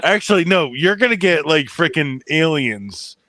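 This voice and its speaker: A man's voice: fairly high pitch, big pitch swings, normal speed, sharp tone, no echo. A man hosting a casual chat with friends, rambling through stories with lots of fillers and swearing, in a soothing voice. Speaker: channel host.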